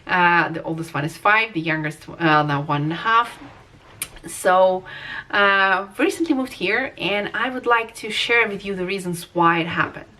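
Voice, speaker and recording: A woman's voice: fairly low pitch, normal speed, pushed and bright, recorded from a distance. A woman in her thirties from Russia with a Russian accent, talking through a personal vlog in a hesitant way, with many fillers and restarts. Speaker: vlogger.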